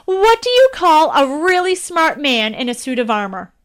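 A woman's voice: high voice, very animated, normal speed, voice pushed up. A woman voicing a clever, cheerful young princess who tells riddles and jokes eagerly. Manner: eager, bright riddling questions.

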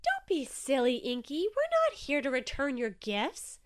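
A woman voicing an upbeat, excitable female jellyfish in a children's story, with an effusive, friendly way of talking. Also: high voice, a little slow, voice pushed up, heavily acted. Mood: reassuring. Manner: psyched, effusive.

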